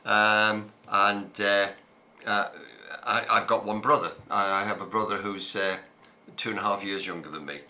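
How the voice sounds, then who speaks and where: Man, 70s, low-pitched, very bright timbre, reflective storytelling, hesitant fillers. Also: steady tone. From Scotland. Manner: unhurried, reflective storytelling with frequent ums and uhs. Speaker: interviewee. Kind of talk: reminiscing conversation.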